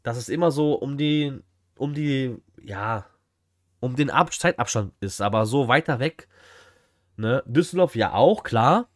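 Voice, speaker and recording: A man's voice: medium pitch, lively intonation, measured pace, dry recording. Man, 30s, livestream reactor; casual, slangy, direct to chat, dry room sound. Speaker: streamer reacting to a flea market video. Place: small indoor room, streaming setup.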